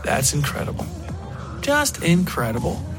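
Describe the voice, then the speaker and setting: A man's voice: a little high, huge pitch swings, unhurried, over music, pitched above the performer's own voice. A man voicing a smug husband who gushes with false, patronizing sweetness in a horror story. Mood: patronizing praise. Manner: gushing, patronizing.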